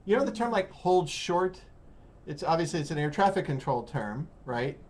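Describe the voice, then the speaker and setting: A man with an American accent hosting a casual interview, explaining in a halting way and rephrasing as he goes. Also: on the high side, expressive. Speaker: host of an English-learning call-in program. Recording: noticeable background noise.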